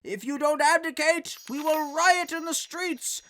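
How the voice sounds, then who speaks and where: A woman's voice: high voice, unhurried, a bit bright, slight background noise. A woman voicing a cocky, mocking female pony rebel in a cartoon parody, with a brash, declamatory delivery. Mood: defiant.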